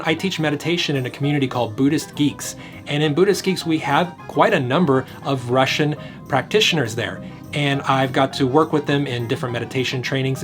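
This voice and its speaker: A man talking casually and enthusiastically to camera about his language-learning plans. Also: medium pitch. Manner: enthusiastic, upbeat, occasional fillers. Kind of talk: casual explanatory vlog to camera. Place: home in Asheville, North Carolina.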